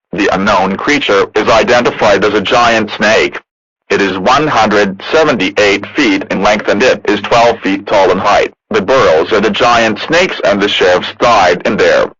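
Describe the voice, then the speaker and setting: Husky male emergency alert announcer, muffled audio. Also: fairly low pitch, a bit bright, no echo. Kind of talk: scripted emergency broadcast warning.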